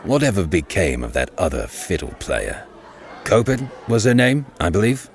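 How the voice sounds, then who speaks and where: An actor playing a haughty, menacing lord in an audiobook, speaking darkly and imperiously. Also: male voice, very animated, moderate pace, a faint crowd. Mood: displeased, probing. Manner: dark, low-voiced, imperious. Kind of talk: audiobook character acting.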